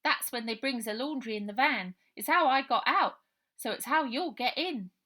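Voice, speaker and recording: A woman voicing a scruffy, wary, hungry orphan boy with rough colloquial speech for a children's storybook. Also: a little high, normal speed, bright, forceful tone, pitched above the performer's own voice. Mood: matter-of-fact. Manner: rough colloquial working-class English grammar.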